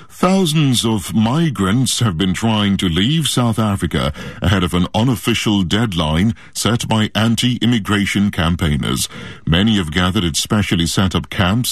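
A man with a very low voice reads a radio news bulletin. His delivery is formal and measured, and the sound is close and dry with no room around it. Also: dry recording. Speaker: newsreader.

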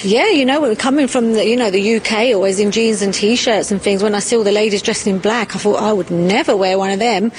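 A woman's voice: mid-range voice, brisk, few pauses. A woman with a London accent, a volunteer guide, chatting casually with fillers. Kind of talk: casual chat.

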